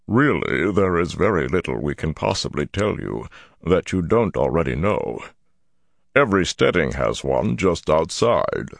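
Slow gravelly old giant elder. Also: male voice, very animated, no echo, clean studio sound, full character voice. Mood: fretful, hesitant. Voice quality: gravelly rumbling. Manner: slow, deliberate, halting delivery. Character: ponderous dignified old male Ogier elder, slow and fretful.

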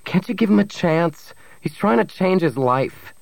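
A man's voice: sits high, expressive, unhurried, creaky, a little mellow, no echo, voice pushed up, heavily acted, low-quality recording. A man voicing a young woman, an earnest, pleading daughter in a story. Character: young woman, daughter fiercely defending her lover.

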